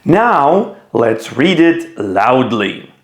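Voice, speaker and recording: Slow, lively-voiced male teacher with gravelly edge. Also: reverberant. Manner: slow, deliberate explaining with lively pitch. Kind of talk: instructional video talk.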